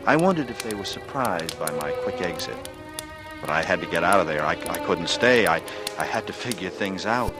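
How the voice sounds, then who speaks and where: Low-voiced brooding noir veteran. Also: male voice. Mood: secretive, excited. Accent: American. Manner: brooding, measured, dramatic delivery. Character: brooding young ex-pilot veteran, secretive, coolly sardonic. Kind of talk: 1940s radio drama acting, first-person noir narration and dialogue. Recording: vintage radio recording with prominent music.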